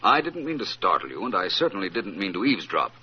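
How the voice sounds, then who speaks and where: A self-assured gentleman sleuth from an old radio mystery. His low voice moves expressively through polished, courteous phrases. The recording is muffled with some faint hiss. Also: sing-song, fast talker. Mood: suave, assured. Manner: polished, formal wording. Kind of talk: old-time radio detective acting.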